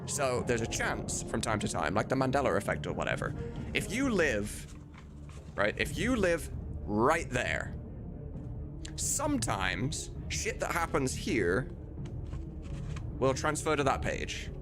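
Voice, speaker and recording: Animated, rambling male streamer over game music. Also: medium pitch, takes it slowly, pauses often. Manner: rambling, sweary, self-amused. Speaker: live streamer and game modder. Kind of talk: casual livestream chat.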